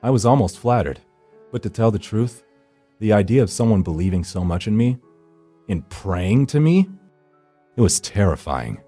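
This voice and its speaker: A man's voice: on the low side, very animated, moderate pace, pauses often, mellow, breathy edge, soft background music. An actor playing a grim, world-weary supernatural rescuer, narrating his hunt in a brooding, solemn, dramatic way. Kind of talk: dramatic scripted first-person narration.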